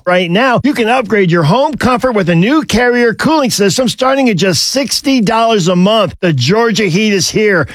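Male commercial voice, high register, scripted announcer read, dry studio sound. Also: fairly bright tone, no room sound. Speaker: advertisement announcer.

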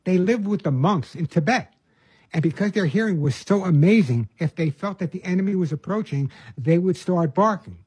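A man's voice: sits high, animated, normal speed, a little mellow, husky, no room sound, rough recording. An older man from Long Island, a pet show host and dog trainer, talking casually in a rambling way with restarts and folksy asides. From Long Island, New York. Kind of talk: casual monologue.

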